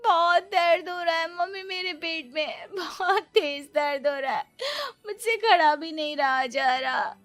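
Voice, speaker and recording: A woman voicing a distressed, pleading young pregnant daughter-in-law in a cartoon story, whiny and drawn-out. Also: very high voice, fairly bright tone. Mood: crying in pain. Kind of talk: Hindi animated moral story acting.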